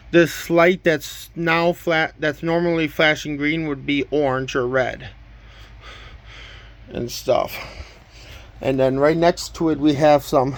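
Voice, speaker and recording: A man casually presenting and demonstrating items from his collection in a plain, matter-of-fact way. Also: a little high. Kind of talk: casual show-and-tell demonstration.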